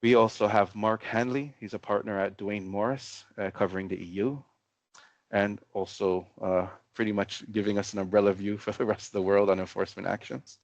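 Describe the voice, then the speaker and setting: A man moderating a webinar, running through housekeeping and introducing the panel in a friendly, brisk way. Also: lowish voice, fairly even intonation, dry recording. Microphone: video call. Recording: dry, dull call audio.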